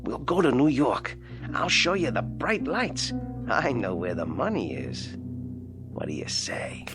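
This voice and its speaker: An actor playing a sly, wisecracking ventriloquist's dummy in a radio drama, slangy and conspiratorial. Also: male voice, mid-range voice, very animated, measured pace, vocal fry, music in the background. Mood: conspiratorial coaxing. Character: sly, wisecracking wooden ventriloquist's dummy, small-time crook.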